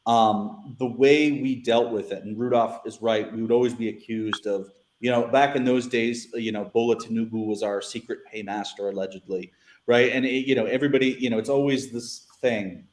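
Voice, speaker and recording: A man's voice: medium pitch, steady tone, moderate pace, darkish tone, roomy sound. A man with an American accent, a guest who was once a newspaper editor, talking casually and restarting his sentences often. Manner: rambling, repeats words, many restarts. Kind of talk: casual panel discussion.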